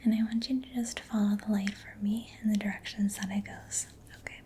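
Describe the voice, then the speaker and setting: Female ASMR performer: hushed breathy whisper, dark mellow tone, gentle and reassuring, steady narrow intonation, faint background noise. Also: medium pitch, brisk. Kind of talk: soft-spoken ASMR personal-attention roleplay.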